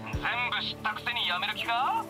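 An actor playing a menacing, sly underworld dealer in an anime game, with a rough, taunting way of talking. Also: male voice, high-pitched, big pitch swings, fairly quick, rough recording. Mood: threatening. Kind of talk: Japanese anime game villain acting. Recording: game dialogue over music.

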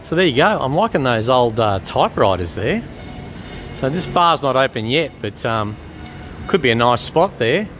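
A man giving casual, unhurried walk-around commentary as a travel vlogger, chatting about what he observes. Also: medium pitch, big pitch swings, a bit bright, faint music behind. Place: walking around an open-air shopping mall. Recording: outdoor urban and traffic noise.

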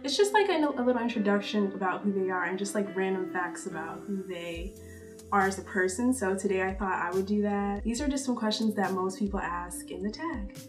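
A young woman in her twenties chats to the camera in a relaxed, upbeat way, peppering her talk with "like". She sits a little back from the mic in an indoor room, and soft music plays faintly underneath. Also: mid-range voice, far from the mic. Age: 20s. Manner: chatty, upbeat, many "like" fillers. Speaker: YouTuber. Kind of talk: casual get-to-know-me vlog. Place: indoor room, seated before purple backdrop.

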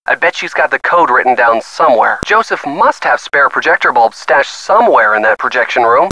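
A man's voice: on the high side, pushed and bright, no echo, rough recording. A man playing a detective's eager, supportive young male friend, giving encouraging hints in a video game. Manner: encouraging, advising. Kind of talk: video game hint dialogue.

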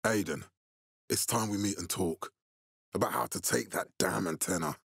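An actor playing a stern, resolute, fatherly militia leader in a video game, speaking with measured, deliberate authority in a husky voice. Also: deep voice, a bit bright, no room sound, clean studio sound, exaggerated voice. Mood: commanding, resolute.

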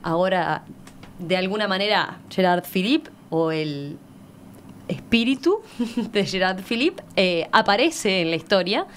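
A woman's voice: medium pitch, animated, measured pace, a little hiss or hum. A woman interviewing a guest conversationally in a radio studio. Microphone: studio microphone.

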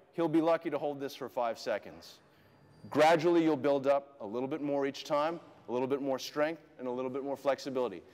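A man of medium pitch explains a rehab exercise while a partner demonstrates, walking through each cue step by step. His voice carries a long reverb from the clinic room around him. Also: a little mellow. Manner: explanatory, walks through posture cues step by step. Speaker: fitness and rehab instructor. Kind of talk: demonstration lesson to camera.

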